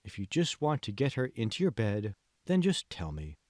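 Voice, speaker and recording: Man voicing a slow, hushed, manipulative mentor. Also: fairly low pitch, sing-song, no echo, clean studio sound. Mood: smooth, probing. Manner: slow, deliberate, probing questions, hushed. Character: calm, manipulative male mentor, slyly seductive and condescending. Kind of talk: dramatic audiobook dialogue.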